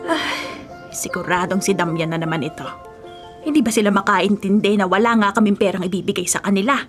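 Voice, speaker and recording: Radio drama: actress for tight-fisted, irritated grumbler. Medium pitch, faint music underneath. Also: female voice, brisk, natural voice. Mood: annoyed. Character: irritated, stingy, grumbling woman. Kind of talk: Filipino radio drama acting.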